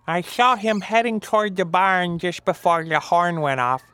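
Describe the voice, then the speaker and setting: A man plays a helpful tom turkey in an animal storybook. The voice is very high and bright, with a crisp, clean edge. It is recorded dry. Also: animated, normal speed, dry recording. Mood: matter-of-fact. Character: helpful male turkey witness.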